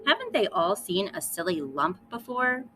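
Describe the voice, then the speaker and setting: A woman's voice: mid-range voice, very animated, moderate pace, a bit bright, soft background music. A woman voicing a bossy, jealous little girl mouse in a children's storybook, with theatrical, indignant delivery. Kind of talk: children's storybook read-aloud.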